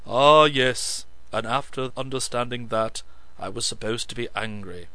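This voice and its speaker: Flustered hotheaded captain, louder and bright read by a man. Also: mid-range voice, measured pace, no echo. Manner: hot-tempered, stumbling when cornered. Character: proud, flustered young male captain.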